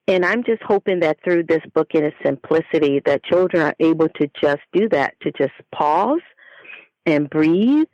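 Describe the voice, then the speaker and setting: A middle-aged woman speaks as a guest author in a conversational interview, phrasing earnestly and deliberately with emphatic pauses. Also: low voice, dry recording, muffled recording. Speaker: children's book author, guest.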